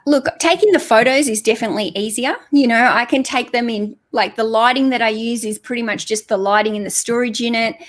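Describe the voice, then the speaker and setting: Chatty Australian woman, slightly high voice. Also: fairly quick, hardly any pauses. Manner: chatty, explains step by step with many fillers. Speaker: guest on a reselling live chat. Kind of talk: casual chat.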